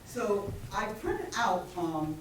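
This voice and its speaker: A woman with a booming voice giving informal, rambling public comment as a resident, without using the microphone. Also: sing-song, slow. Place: public meeting room, off the microphone. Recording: noisy echoey room, far from microphone.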